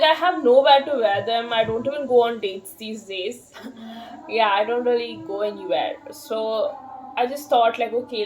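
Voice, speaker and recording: A woman in her thirties chatting casually to camera at home, slow and rambling, with many fillers and soft laughs. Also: fairly high pitch, a little hiss or hum, low-quality recording. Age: 30s. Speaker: vlogger.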